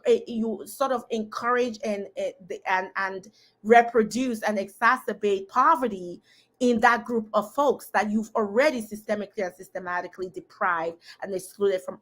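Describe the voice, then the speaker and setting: A woman's voice: medium pitch, fairly bright tone. A woman hosting a live discussion, talking casually and emphatically, laughing often and addressing her audience directly. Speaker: host.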